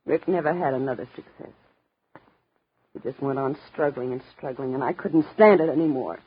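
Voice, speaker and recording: A woman's voice: extremely low pitch, normal speed, husky. An actress playing a bitter, wounded young showgirl in 1950s radio drama, with tough, slangy, melodramatic delivery. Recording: muffled old 1950s radio recording.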